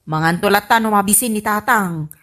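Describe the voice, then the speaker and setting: A woman with an Ilocano accent playing a fussing, easily shocked adult daughter in a comedy radio drama, questioning and expressive. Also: mid-range voice, a little fast, lightly acted. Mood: shocked, fretful.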